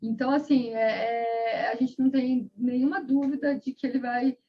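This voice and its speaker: A woman's voice: fairly high pitch, level tone, normal speed, lo-fi sound, a little hiss or hum. A woman guest on a video call interview, speaking earnestly about raising a bilingual child, with many fillers and restarts.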